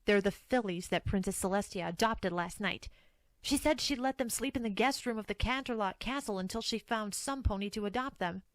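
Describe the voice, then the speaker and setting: A woman's voice: medium pitch, a little fast, no echo, close to an everyday voice, rough recording. A woman voicing an ambitious, jealous young female pony student in an audio drama, smug and grumbling. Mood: jealous, annoyed.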